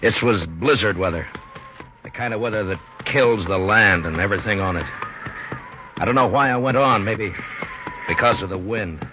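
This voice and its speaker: An actor playing a weary frontier marshal, narrating a 1950s radio western in a brooding, terse way. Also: male voice, lowish voice, normal speed, long pauses, lightly acted. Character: weary, grim frontier marshal recalling a hard ride. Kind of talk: 1950s radio drama first-person narration. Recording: dull 1950s radio recording, loud music.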